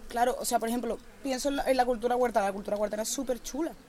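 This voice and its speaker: Fast-talking Andalusian woman, passionate. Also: fairly high pitch, mellow, slight background noise. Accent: Andalusian Spanish. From Andalusia, Spain. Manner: passionate, restarts often. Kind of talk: casual group conversation.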